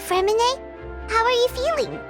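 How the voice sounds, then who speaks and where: A woman's voice: very high voice, normal speed. A woman voicing a tiny, chirpy, caring fairy-like companion with a childlike manner in a video game. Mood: concerned, eager. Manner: chirpy, speaks of self in third person. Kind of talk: cute video game character acting. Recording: loud music behind the voice.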